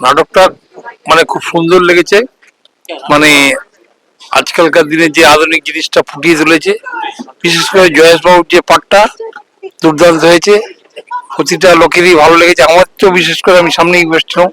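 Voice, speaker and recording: A man's voice: fairly high pitch, level tone, fairly quick, long pauses, bright, forceful tone, rough recording. A man giving an enthusiastic audience reaction in a casual interview. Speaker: audience member. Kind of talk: enthusiastic vox-pop reaction.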